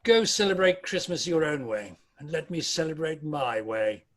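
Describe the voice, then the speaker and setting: A man's voice: a little high, lively intonation, normal speed. A man playing a miserly, grumpy old businessman in a table read, curt, sarcastic and dismissive. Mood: scornful, grumbling. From United Kingdom. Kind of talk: amateur table read of a Victorian Christmas story.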